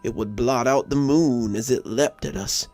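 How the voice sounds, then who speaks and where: A man narrating a scripted horror story in a measured, brooding, dramatic manner. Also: mid-range voice. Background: quiet background music.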